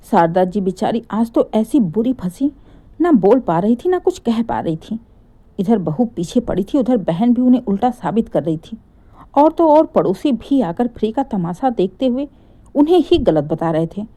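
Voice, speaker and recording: A woman's voice: medium pitch, warm tone. A woman telling a scripted family story as a dramatic narrator.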